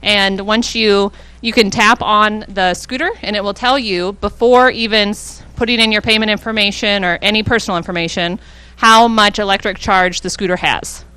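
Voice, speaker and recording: A woman's voice: medium pitch, fairly even intonation, normal speed, bright, forceful tone, clean tone. Woman explaining at a council meeting. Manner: informal, friendly explaining with fillers. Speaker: hospital community health coordinator. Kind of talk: public presentation at a council meeting. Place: city council chamber. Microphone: handheld microphone handed to her.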